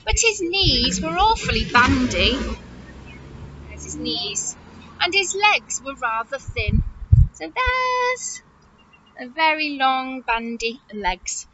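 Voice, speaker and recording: A high-pitched woman leads storytime for little children in the manner of a nursery teacher. Her delivery is slow and swoops widely, with exaggerated emphasis and direct questions to her young listeners. The recording is a slightly muffled home video with faint noise. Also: big pitch swings.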